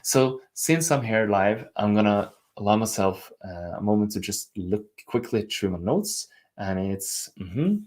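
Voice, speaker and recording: A man with a Norwegian accent, hosting a live stream as a coach, speaking earnestly and gently while reading out viewer comments. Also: on the low side, expressive, a little slow, hardly any pauses. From Norway. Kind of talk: live-stream teaching and chat.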